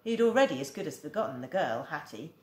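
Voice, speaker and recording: Teacher reading to her class, low-pitched, animated, echoey room. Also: fast talker. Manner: expressive, acts out the dialogue. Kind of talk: storybook read-aloud.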